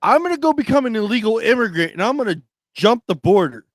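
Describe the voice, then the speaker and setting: A man's voice: high voice, very animated, fast, no room sound, clean studio sound. A man co-hosting a talk show, chatting casually and giving opinions in a blunt, profane way. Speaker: talk radio co-host.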